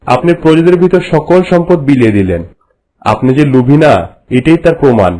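A man's voice: fairly high pitch, big pitch swings, brisk, dark tone, low-quality recording. A man playing a reverent royal courtier in a cartoon, explaining things formally and respectfully to his queen. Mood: respectful, solemn. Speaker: storytelling courtier. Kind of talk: scripted cartoon dialogue in formal narration. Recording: music bed under the voice. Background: music.

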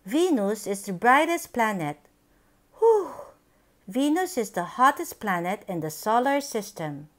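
A woman reading a picture book aloud for young children, with simple, deliberate, expressive phrasing. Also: on the high side. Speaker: children's book reader, channel host.

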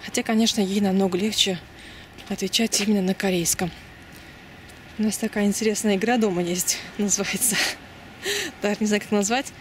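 A woman's voice: mid-range voice, fairly even intonation, a little fast, pauses often. Woman chatting on a street at night. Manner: chatty, loose, many fillers and restarts. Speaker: vlogger. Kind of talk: casual walking vlog. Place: city street at night, outdoors. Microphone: handheld camera mic. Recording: outdoor street noise and passing cars. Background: traffic and street ambience.

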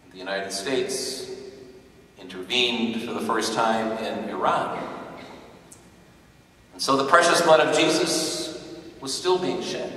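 Older priest preaching in an echoey church. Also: male voice, mid-range voice, fairly bright tone, distant mic. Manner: measured, repeating refrains. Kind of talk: homily. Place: large church, at a lectern. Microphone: stand mic on lectern, far camera.

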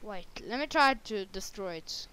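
A young woman from Norway giving casual commentary while playing a game, excitable and yelping when she crashes. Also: medium pitch, normal speed. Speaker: gamer. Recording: loud background noise.

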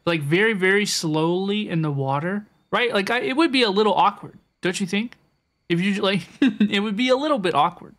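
A woman's voice: mid-range voice, lively intonation, moderate pace. A woman live-streaming a game with casual, playful, teasing reaction commentary, often repeating her phrases.

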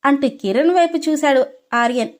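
Woman, high pitch, scripted storytelling, narrator. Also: fairly quick.

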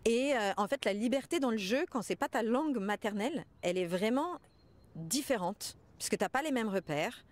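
A woman's voice: a little high, lively intonation, normal speed. A woman in her thirties speaking casually as an actress being interviewed outdoors. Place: outdoors in front of a large mansion. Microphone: small mic held out by interviewer.

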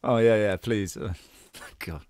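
A man's voice: on the low side, slow pace, darkish tone. A man, an actor, chatting casually and jokily with colleagues. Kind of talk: casual joking chat.

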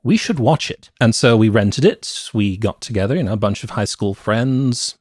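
A middle-aged man co-hosting a podcast, telling stories about movies in a casual, deliberate way. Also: on the low side, animated, a little mellow, no echo, studio quality. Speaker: podcast co-host. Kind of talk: casual movie review chat. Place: cluttered home room with shelves. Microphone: close podcast mic with headset.

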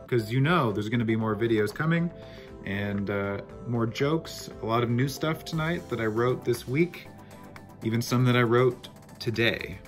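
A man in his thirties doing a stand-up set with deadpan one-liners, filmed on a webcam at home. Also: on the low side. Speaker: stand-up comedian. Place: living room at home. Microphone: webcam, video call framing.

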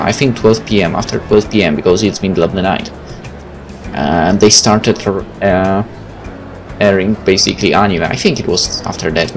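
A man, a native Polish speaker, hosting a game let's play, reading aloud and commenting casually. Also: on the low side. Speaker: gaming video host. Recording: faint game music behind.

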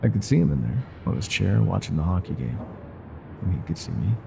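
Man acting deep, gravelly, world-weary ex-gangster. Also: big pitch swings, a little fast, background noise, exaggerated voice. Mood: regretful, somber. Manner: weary, wry, confiding. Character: world-weary older ex-mafia bartender, gruff, self-deprecating, secretly tender. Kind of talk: intimate ASMR roleplay monologue.

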